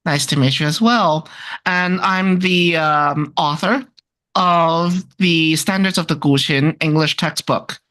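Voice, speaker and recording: Scholarly speaker, medium pitch, informal discussion, hesitant, searching for words. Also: steady tone, slow pace, fairly bright tone. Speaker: textbook author and music researcher.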